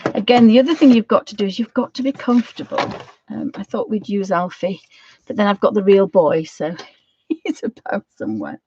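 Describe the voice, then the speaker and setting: A middle-aged British woman with a slightly croaky voice, giving a casual craft tutorial in a rambling, homely way, thinking aloud as she goes. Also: medium pitch, animated, brisk, warm tone, no echo, highs cut off. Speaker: watercolour craft tutor hosting a live session.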